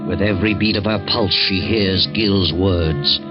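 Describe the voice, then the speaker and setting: An actor playing an ominous narrator in a 1940s radio mystery, dramatic and suspenseful. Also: male voice, deep voice, measured pace. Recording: dull old 1940s radio recording. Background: loud music.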